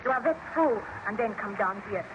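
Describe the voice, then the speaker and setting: An actress playing a baron's anxious, commanding wife in a formal, earnest 1930s radio drama style. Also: female voice, medium pitch, animated, a little fast, natural voice. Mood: stern urgency. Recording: very noisy, muffled old radio recording.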